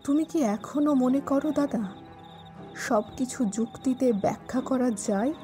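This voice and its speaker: A woman playing a confident young policewoman, the detective's sister, speaking slowly and troubled in an audio drama. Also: high voice, dark tone, soft background music. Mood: doubtful, probing.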